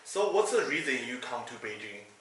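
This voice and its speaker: A male interviewer with a bright, forward voice puts questions informally and a little haltingly. He sounds distant from the mic in a very echoey room, with faint noise around him. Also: mid-range voice, big pitch swings.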